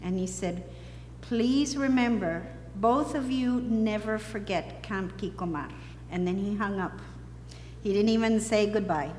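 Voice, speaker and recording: A woman's voice: mid-range voice, a little slow, darkish tone. A middle-aged woman from Costa Rica telling her personal story as a missionary to a congregation. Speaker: missionary guest. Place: large church sanctuary, seated on stage. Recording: reverberant church hall, faint noise.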